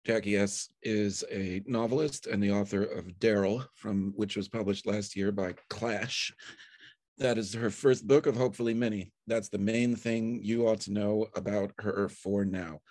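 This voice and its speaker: Host, male, forties, lower register, dry close sound, plain event introductions. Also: fairly even intonation, unhurried, darkish tone, no echo. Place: small bedroom. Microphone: video call.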